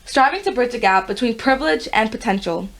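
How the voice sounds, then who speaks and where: A young woman from Guyana reads her college admissions essay in an earnest, steady voice. Her voice sits a little higher than most. She is a guest on a podcast. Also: fairly quick. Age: young adult.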